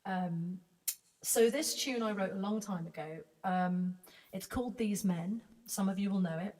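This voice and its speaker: Soft, hesitant woman in a reverberant room. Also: mid-range voice, unhurried. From Britain. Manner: hesitant, many fillers and restarts. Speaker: singer-songwriter. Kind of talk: casual chat introducing a song. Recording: reverberant room, distant mic, music behind.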